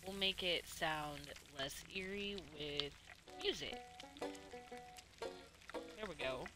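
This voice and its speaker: A woman's voice: fairly low pitch, expressive, extremely slow, over music. A woman streaming a game and chatting casually, hesitant and rambling, with free swearing. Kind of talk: casual livestream chat while playing.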